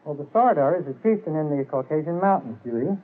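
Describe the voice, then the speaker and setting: A man's voice: on the high side, lively intonation, quick pace. A man, an orchestra leader, trading scripted banter on a 1940s radio show. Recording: tinny 1940s radio broadcast.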